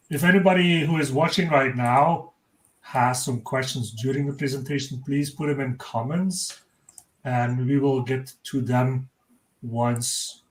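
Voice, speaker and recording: A man from Belgium hosting a live webinar, introducing his guest in an upbeat, welcoming way. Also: medium pitch. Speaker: webinar host. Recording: roomy echo.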